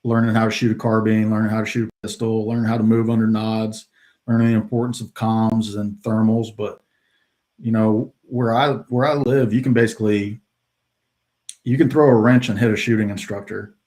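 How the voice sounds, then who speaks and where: A middle-aged man from the United States, a retired soldier, telling his career story as a podcast guest in a relaxed way with many fillers. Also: lowish voice, normal speed. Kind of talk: casual interview talk.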